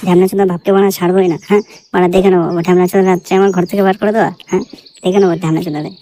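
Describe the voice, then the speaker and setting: A woman playing a crude, lovesick, hot-tempered young son in a comic cartoon, ranting quickly. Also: fairly low pitch, steady tone, warm tone, a little hiss or hum, natural voice. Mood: angry, ranting. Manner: fast, ranting, crude swearing.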